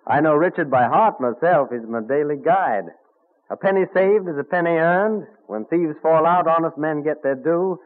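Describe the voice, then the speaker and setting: A man's voice: fairly high pitch, moderate pace, natural voice. An actor playing an eager, polite, admiring young colonial tradesman in an old radio drama. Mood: eager admiration. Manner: polite, deferential, enthusiastic. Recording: tinny narrow-band vintage radio recording.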